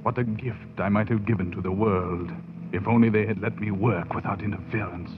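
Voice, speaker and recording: An actor playing a proud, bitter European mad scientist professor in a theatrical 1940s radio horror monologue. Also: male voice, on the low side, fairly even intonation, brisk, phone-quality sound, background noise, lightly acted. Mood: bitter, brooding. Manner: theatrical, grandiose, swinging in intensity. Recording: thin band-limited 1940s radio recording.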